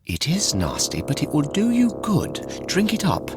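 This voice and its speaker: A man voicing a gentle, motherly female fairy in a storybook, soft, coaxing and patient. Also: fairly high pitch, wildly swooping pitch, measured pace, over music, pitched above the performer's own voice, exaggerated voice.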